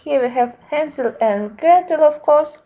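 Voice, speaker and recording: A woman giving a casual review narration in simple, slightly halting non-native phrasing. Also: high-pitched, expressive, mellow. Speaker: colouring book reviewer.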